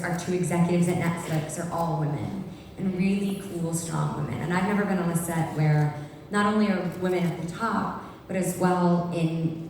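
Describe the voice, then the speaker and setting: A woman with a lowish voice talks in lively, quick bursts about her work. The sound comes from a distance in a large hall with a long reverb tail, over a faint hum. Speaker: actress at a press conference. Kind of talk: panel answers at a press event. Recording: echoey hall, voice from far off.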